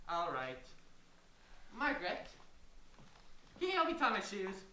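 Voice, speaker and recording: A performer voicing a young boy who misses his dad, with a soft, whiny childlike voice and a plaintive, sulky way of talking. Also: mid-range voice, wildly swooping pitch, unhurried, a little hiss or hum, exaggerated voice. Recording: roomy echo, distant mic.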